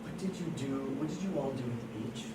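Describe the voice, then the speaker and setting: A performer at an amateur table read playing an agitated police officer who presses suspects with halting, repeated questions. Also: medium pitch, natural voice. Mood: probing, stern. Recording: distant, echoey room, noisy.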